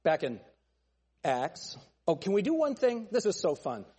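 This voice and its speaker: A male pastor preaching a fiery sermon with a thin, nasal voice, pressing his points with forceful, repeated phrases. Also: high-pitched, sing-song, a little slow, husky, big-room sound. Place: church sanctuary.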